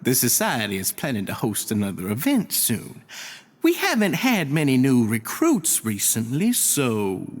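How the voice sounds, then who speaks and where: A man's voice: mid-range voice, huge pitch swings, measured pace, slight background noise. A man voicing an earnest, idealistic club leader in a video game, deferential and uplifting in manner. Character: earnest, idealistic male leader of a prison self-improvement society. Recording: faint music behind the voice.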